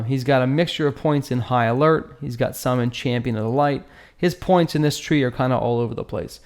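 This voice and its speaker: A man's voice: medium pitch, darkish tone. Man giving casual gaming commentary. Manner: informal, joking slang, checks in with tag questions. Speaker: gaming video host. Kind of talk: casual gameplay build breakdown commentary.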